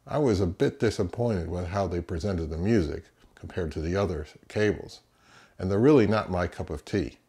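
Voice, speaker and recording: Middle-aged male audio gear reviewer, lowish pitch, conversational product-review narration for a home channel. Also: normal speed, mellow. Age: 50s to early 60s. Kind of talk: conversational, to camera.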